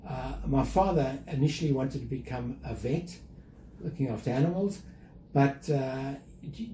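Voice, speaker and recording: An older man with a South African accent, speaking as an interview guest in a measured way with frequent fillers and restarts. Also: medium pitch, warm tone, reverberant, a little hiss or hum, rough recording. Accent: South African English. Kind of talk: conversational interview.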